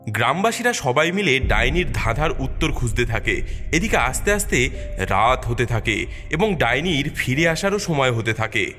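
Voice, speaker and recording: A man narrating a scripted cartoon tale as an expressive storyteller. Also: mid-range voice, moderate pace. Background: music.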